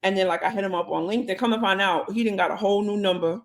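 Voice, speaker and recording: Woman co-host, mid-pitched, lively casual storyteller, plays out both voices of a chat. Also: rapid-fire. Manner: animated, acts out conversations in quotes. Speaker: podcast co-host.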